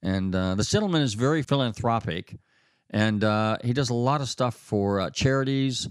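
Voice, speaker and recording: A man's voice: mid-range voice, normal speed, smooth, dry recording, studio quality. A man hosting a radio talk show, from California, with an upbeat announcer delivery and some fillers.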